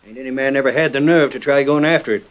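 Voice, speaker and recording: A man playing a folksy, easily indignant, slightly slow-witted frontier sheriff with an American Western drawl in a radio drama. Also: mid-range voice, close to an everyday voice. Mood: puzzled, skeptical. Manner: homespun, drawling. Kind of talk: old-time radio western acting. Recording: muffled vintage radio drama recording.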